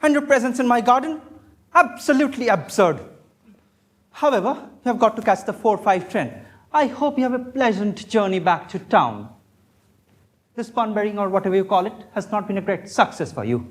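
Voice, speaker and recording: Man playing a stern, exasperated gentleman. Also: sits high, lively intonation, moderate pace. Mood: indignant. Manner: indignant, formal. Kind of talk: amateur stage comedy acting.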